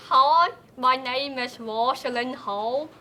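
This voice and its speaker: Young woman in her 20s, slow and even, kinda high voice, thoughtful. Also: slight background noise. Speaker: young adult sharing her life story. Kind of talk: personal testimonial to camera.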